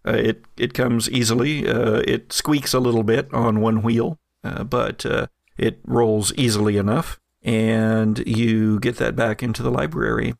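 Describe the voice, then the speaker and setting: A man working as game master, improvising descriptive second-person narration of a tabletop game in a halting way. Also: mid-range voice, little pitch movement, creaky, dry recording, clean studio sound.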